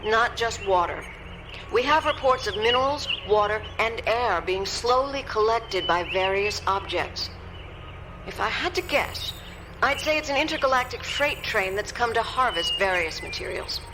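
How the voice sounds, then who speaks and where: An actress playing a composed, analytical scientist who briefs officials in a radio drama, explaining things in a measured way. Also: female voice, a little high, lively intonation, noisy background, lightly acted. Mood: measured, factual. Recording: music under the voice.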